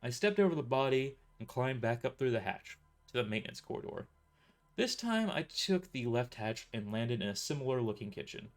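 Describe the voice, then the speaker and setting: A man's voice: medium pitch, big pitch swings, a little fast. Man narrating a story, stumbling as he reads. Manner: stumbles and restarts sentences while reading. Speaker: author narrating his novel. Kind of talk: first-person audio story narration.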